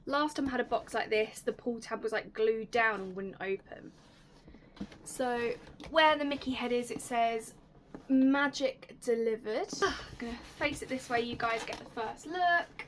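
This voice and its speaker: A young woman hosting a casual unboxing vlog, chatty and enthusiastic, her voice slightly raspy and going hoarse. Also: on the high side, measured pace, slight background noise. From United Kingdom. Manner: chatty, enthusiastic, drops to soft whispers at times. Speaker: YouTube host.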